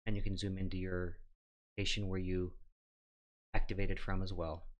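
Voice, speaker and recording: A middle-aged man hosting a how-to show, walking through steps in a plain, instructional and somewhat halting way. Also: low-pitched, little pitch movement. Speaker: host of a ham radio how-to show. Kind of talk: step-by-step tutorial narration.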